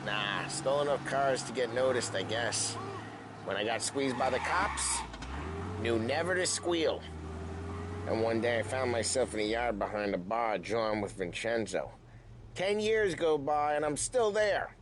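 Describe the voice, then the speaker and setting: A man's voice: medium pitch, lively intonation, measured pace, noisy background, lightly acted. An actor playing an easygoing gangster who teases his partner in a breezy way. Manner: breezy, teasing. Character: wisecracking male gangster, easygoing and teasing.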